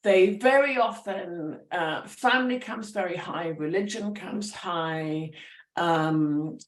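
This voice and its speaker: A woman, a writer and teacher, talking through her ideas with animated thoughtfulness and many restarts at a public talk. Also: lowish voice, slow. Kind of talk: conversational storytelling. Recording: roomy echo.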